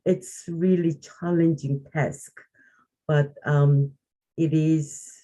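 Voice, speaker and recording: A woman with a Korean accent, English as her second language, reflecting to a group on a call, halting and searching for words with frequent restarts. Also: deep voice, steady tone, warm tone.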